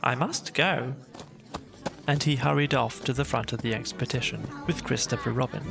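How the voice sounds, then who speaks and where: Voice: mid-range voice, fairly even intonation, brisk, vocal fry, music in the background. A storyteller reading scripted narration for a cosy bedtime audiobook.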